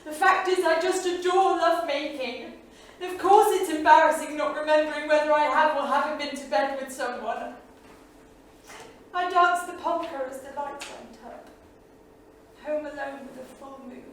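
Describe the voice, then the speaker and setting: A woman's voice: sits high, steady tone, moderate pace, lightly acted. A woman playing an eccentric, unstable bohemian wife, confessing frankly in a staged monologue. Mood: giddy, confiding. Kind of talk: staged dramatic monologue reading. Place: small studio theatre, staged reading. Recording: echoey theatre, distant mic, faint noise.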